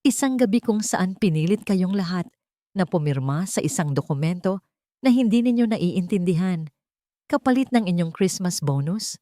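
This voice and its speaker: A woman's voice: fairly low pitch, dark tone, no room sound, studio quality, close to an everyday voice. A woman voicing a serious, determined man bent on justice, speaking formally and insistently in an audio drama.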